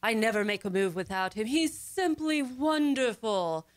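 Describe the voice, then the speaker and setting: A woman's voice: on the high side, animated, moderate pace, no echo, natural voice. Woman doing a bossy gushy society wife, radio comedy, talks down to everyone, very dry sound. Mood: gushing. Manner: imperious, condescending, emphatic. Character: bossy, gushing middle-aged society wife devoted to astrology. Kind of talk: old-time radio comedy acting.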